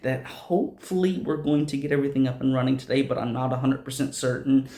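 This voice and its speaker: A man hosting casual game commentary, enthusiastic and rambling, with a raspy voice and a lingering cough. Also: mid-range voice, fairly even intonation, fast talker, mellow, roomy sound. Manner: enthusiastic, rambling, many restarts. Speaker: host.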